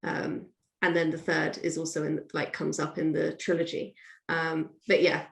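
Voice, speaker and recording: A woman's voice: low voice, little pitch movement, moderate pace, vocal fry. A woman chatting casually as a guest author, informal and a little self-deprecating. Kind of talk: casual chat. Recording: echoey room.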